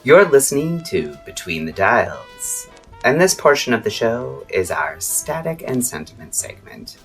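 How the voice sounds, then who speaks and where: A woman performs a mysterious radio host with an extremely low, husky voice. The delivery is slow, cryptic and theatrical, like an eerie scripted late-night broadcast, with music playing underneath. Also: very animated, exaggerated voice. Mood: welcoming, theatrical.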